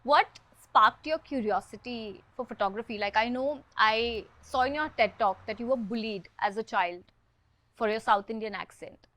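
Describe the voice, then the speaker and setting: A woman's voice: a little high, moderate pace, a bit bright, poor audio. A young woman hosting a podcast, reading her introduction and asking her guest friendly, curious questions. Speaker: podcast host. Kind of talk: podcast interview and scripted intro.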